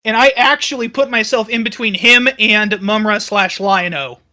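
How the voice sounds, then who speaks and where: A woman roleplaying as a player in a tabletop game, casual and playful, with an animated, dramatic manner. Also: medium pitch, moderate pace.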